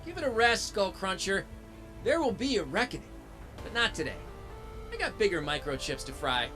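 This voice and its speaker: An actor playing a plucky, defiant heroic robot in a video game, with a wry, quippy manner. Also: male voice, high-pitched, very animated, moderate pace. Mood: cocky. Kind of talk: video game cutscene acting. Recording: loud music behind the voice.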